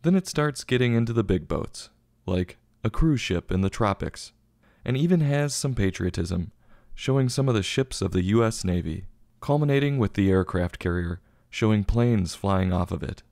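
Low-voiced man reading a tongue-in-cheek review. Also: animated, moderate pace, creaky, darkish tone, dry recording, clean studio sound. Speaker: book review show host. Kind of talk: scripted review narration.

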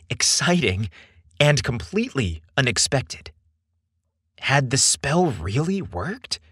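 A man narrating a scripted story as a podcast storyteller, with measured, theatrical and deliberate phrasing. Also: a little high, a bit bright, husky, dry recording, clean studio sound.

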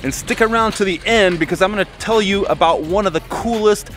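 A man's voice: sits high, brisk, a bit bright. An enthusiastic, emphatic man in his forties presenting an upbeat travel vlog to a handheld camera outdoors. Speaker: travel vlogger. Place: outdoors, city streets and an open rooftop terrace. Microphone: handheld camera at arm's length. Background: music.

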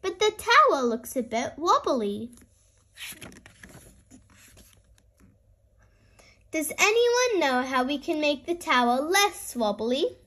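Woman voicing a high, cheerful storybook daddy pig. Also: very animated, measured pace, pushed and bright, exaggerated voice. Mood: encouraging. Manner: encouraging, instructive. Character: cheerful adult male pig father, keen teacher.

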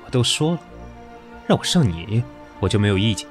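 Brief: an aloof, sharp-tongued boss, low voice, very lively inflection, soft music. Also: male voice, brisk. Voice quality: dry and cutting. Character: cool, sharp-tongued older man, a company boss. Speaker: voice actor. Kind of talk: audio drama acting.

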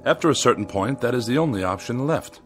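An actor playing a pompous, irascible writer who despises machines, speaking in wordy, sneering sarcasm in a radio drama. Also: male voice, medium pitch, lively intonation, fast, faint music behind, close to an everyday voice. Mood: contemptuous.

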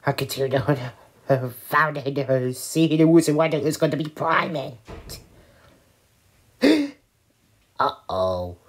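A woman playing an excitable cartoon character in an amateur skit, with a husky voice and halting, stumbling delivery. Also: deep voice, full character voice. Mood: excited.

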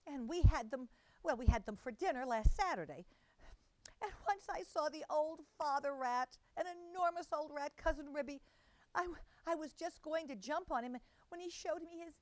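A woman's voice: very high voice, no room sound, voice pushed up. A woman voicing a tearful, fretful mother cat who wails about her lost kitten. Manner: wailing, fussing.

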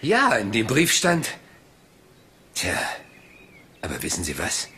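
A rumpled, scatterbrained inspector in a crime drama. His low voice swings about expressively as he rambles and hesitates, always deferential and seemingly naive. There is faint noise behind him. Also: wildly swooping pitch, takes it slowly, bright, forceful tone, full character voice. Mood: puzzled, probing. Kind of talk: German crime drama dialogue.

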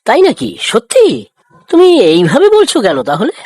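A woman voicing a calm, responsible farmer father with a husky voice in a children's cartoon. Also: on the high side, moderate pace, dry recording, studio quality, exaggerated voice. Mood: curious.

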